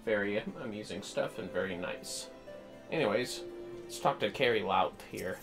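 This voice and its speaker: A man's voice: on the low side, normal speed. Easygoing male streamer over faint music. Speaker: livestreamer. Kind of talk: casual game livestream commentary.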